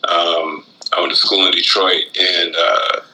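A man from Florida chatting casually as an interview guest, halting and reflective as he talks. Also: low-pitched, flat delivery, background noise. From Florida, United States, born in Guyana. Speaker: interview guest, rapper and shoe designer.

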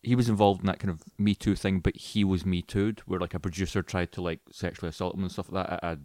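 A man's voice: low voice, steady tone, quick pace, dark tone, no room sound, clean studio sound. A man co-hosting a podcast, chatting casually about films with sweary, sarcastic informality. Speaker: podcast co-host.

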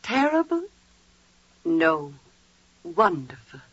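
A woman's voice: deep voice, sing-song, takes it slowly, a bit bright, slightly rough, no room sound, exaggerated voice. An actress playing an earnest, resolute mother in a biblical 1940s radio drama, with solemn, dramatic delivery. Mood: intense. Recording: muffled 1940s radio recording.

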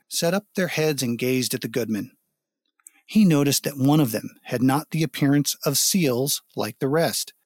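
A man narrating a folk tale as a storyteller, expressive and dramatic, with explanatory asides. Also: on the high side, no room sound, clean studio sound.

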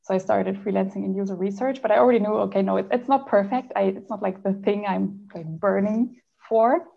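A woman from Germany tells her career path in a loose, rambling way. Her voice is full of vocal fry, and the audio is slightly dull and lo-fi. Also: mid-range voice, fairly quick, dark tone. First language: not French. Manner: chatty, rambling, frequent "like" and laughs. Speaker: interview guest. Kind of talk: casual career story.

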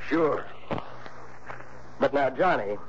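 An actor playing a fretful, folksy small-office insurance agent in a 1960s radio drama, halting and concerned. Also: male voice, mid-range voice, animated. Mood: worried, hesitant. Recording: muffled, faintly noisy old radio recording.

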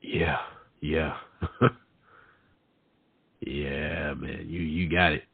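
A man's voice: very deep voice, steady tone, extremely slow, creaky, breathy edge, no echo, phone-quality sound. A man in his late thirties hosting a casual chat, talking informally with slang and repeating himself for emphasis. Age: late 30s. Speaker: podcast host.

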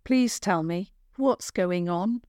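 A woman's voice: fairly low pitch, takes it slowly, a little mellow, no room sound, clean studio sound. Woman acting an earnest, modest young boy hero. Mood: firm. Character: earnest, modest boy dragon caller, voice newly deepened.

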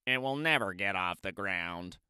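A man's voice: deep voice, expressive, sharp tone, smooth, dry recording, studio quality, voice pushed down, heavily acted. A man voicing Toad, a gloomy, easily discouraged male toad, reading a storybook aloud. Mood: glum. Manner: glum, slow.

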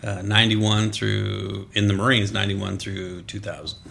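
A veteran man talking in a relaxed chat, his voice low and rough with fry. He speaks sternly and evenly, with the same flat tone whether happy or not. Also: moderate pace, a little hiss or hum. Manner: stern, even, unvarying deep tone. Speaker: Marine veteran guest. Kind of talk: casual chat.

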